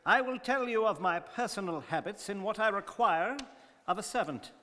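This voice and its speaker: A man plays a fussy English gentleman of rigid habits in a radio play. His voice is pitched high, formal and imperious, and carries a long hall reverb. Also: expressive, moderate pace. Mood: imperious, exacting. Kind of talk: stage radio drama acting.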